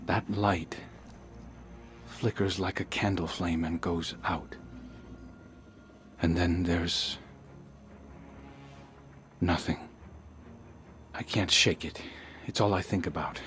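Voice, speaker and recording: Low, slow, brooding hunter. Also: male voice. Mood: troubled, wistful. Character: brooding male light bearer hunter haunted by strange dreams. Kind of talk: cinematic video game dialogue.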